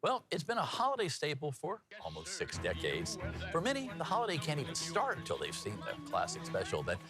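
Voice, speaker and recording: A man's voice: a little high, wildly swooping pitch, a little fast, over loud music. A man working as a TV news anchor, reading headlines and bantering in a chatty, folksy way. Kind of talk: newscast reading mixed with casual co-anchor banter.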